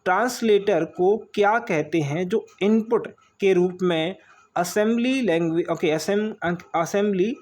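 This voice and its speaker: A man's voice: sits high, darkish tone. A man with an Indian accent teaching an informal online quiz lesson, repeating key phrases and dropping in short check words. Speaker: online exam-prep teacher. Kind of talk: informal lesson going through multiple-choice questions.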